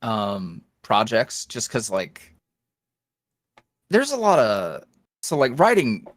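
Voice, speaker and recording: Male podcast guest, middle-pitched and very expressive, casual and rambling, self-deprecating, recorded dry. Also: takes it slowly, no echo. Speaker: podcast guest, ASMR roleplay voice creator. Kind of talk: casual interview chat.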